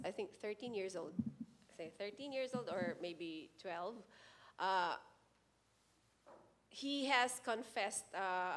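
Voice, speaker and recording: A woman in her forties sharing a personal testimony at a church service into a handheld mic, earnest and halting, often restarting her words. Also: medium pitch, a bit bright. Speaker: church member giving testimony.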